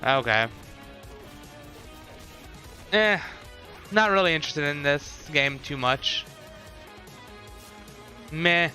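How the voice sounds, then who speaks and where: A man's voice: a little high, lively intonation, extremely slow, long pauses, pushed and bright, soft background music. A man in casual live stream chat, thinking aloud and talking with his viewers as he reacts.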